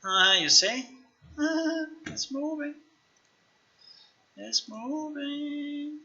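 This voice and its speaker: A man with a very sharp, forceful voice talks through software on a live stream. He is informal and halting, working things out aloud. The audio is slightly dull. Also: very high-pitched. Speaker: live streamer, game developer. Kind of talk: casual live-stream chat while demonstrating software.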